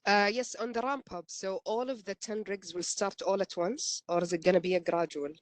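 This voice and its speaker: A woman's voice: mid-range voice, steady tone, moderate pace, no echo. A woman asking unscripted questions as a financial analyst on an earnings call.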